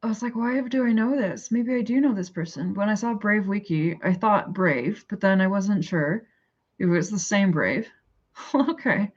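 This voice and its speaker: A woman's voice: medium pitch, normal speed, breathy edge, muffled recording. A woman with a Canadian accent, chatting casually and rambling to her viewers on a livestream. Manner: rambling, chatty, thinks aloud and answers chat. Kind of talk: casual live chat.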